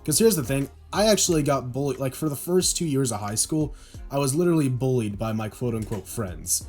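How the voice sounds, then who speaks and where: A man's voice: lively intonation, brisk, darkish tone, breathy edge. Young man chatting in a podcast over music. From Oklahoma. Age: young adult. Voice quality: deep voice. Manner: rambling, self-deprecating, many restarts. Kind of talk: casual podcast chat.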